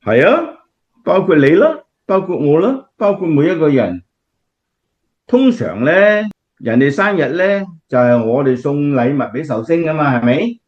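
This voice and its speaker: A man's voice: on the high side, very animated, measured pace. A man with a Cantonese accent playing a kindly, devout grandfather who explains things patiently in a scripted radio drama. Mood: kindly, explanatory. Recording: dull, lo-fi audio.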